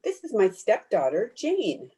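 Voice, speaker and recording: A woman's voice: medium pitch, wildly swooping pitch, measured pace, dark tone. A woman playing a gracious middle-aged aunt in an old-time radio drama, polite and solicitous. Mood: gracious, concerned. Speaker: actor in an amateur radio play. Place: at home, recorded over video call.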